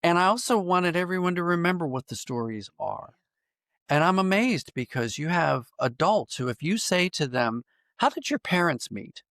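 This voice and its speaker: A man's voice: fairly high pitch, very animated, normal speed, a bit bright, no room sound, clean studio sound. An American man speaking as an interview guest in a thoughtful, explanatory way, sometimes repeating phrases as he works out a point. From Pennsylvania, United States. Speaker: podcast guest, writer and audio drama producer. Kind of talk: reflective interview conversation.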